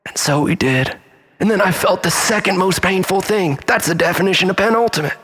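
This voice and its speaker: Guy doing a dramatic storytelling monologue, raspy n kinda high, super melodramatic, goes from loud to hushed. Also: a little fast. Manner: melodramatic, swinging between loud exclamation and breathy hush. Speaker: storyteller performing a comic monologue. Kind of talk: dramatic first-person storytelling, theatrical monologue.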